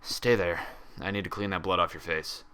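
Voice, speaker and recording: A man voicing an irritable male pony hospital orderly in a storybook reading, gruff, grumbling and sarcastic. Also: deep voice, fairly even intonation, moderate pace, sharp tone. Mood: gruff, impatient.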